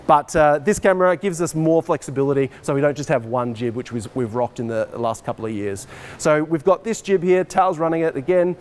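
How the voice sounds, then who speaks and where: A man's voice: fairly high pitch, fairly even intonation, fairly quick, a little mellow. A man in his forties, a teacher, giving an informal walk-through technical explanation to camera in a hall. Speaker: teacher, head of arts and IT department. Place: large dark school assembly hall, indoors. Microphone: camera microphone a short distance away. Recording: noisy hall ambience on camera mic.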